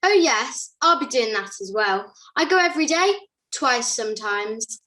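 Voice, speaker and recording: A woman's voice: a little high, expressive, a little slow, sharp tone, far from the mic. A young woman acting a vain, pampered puppet with affected, gushing manners in an amateur puppet show. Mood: affected, breezy. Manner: affected, gushing "darling" talk. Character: vain, pampered luvvie puppet, boutique regular.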